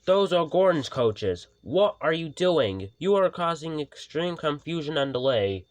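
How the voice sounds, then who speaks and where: A man's voice: on the high side, big pitch swings, a little slow, no echo, pitched above the performer's own voice. A man voicing a stern, cross male railway boss who scolds.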